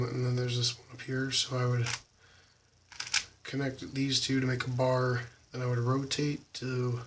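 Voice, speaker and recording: A slightly hoarse young man casually talking through how he solves a puzzle cube, halting with lots of ums. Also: mid-range voice, far from the mic, a little hiss or hum. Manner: halting, many ums, soft and quiet. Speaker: YouTube cubing channel host. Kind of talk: casual tutorial walkthrough.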